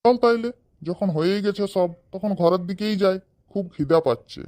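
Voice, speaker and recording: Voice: mid-range voice, moderate pace, a little mellow, close to an everyday voice. A performer voicing a fond, hard-working village farmer father in a comedy cartoon. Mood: hungry, comic.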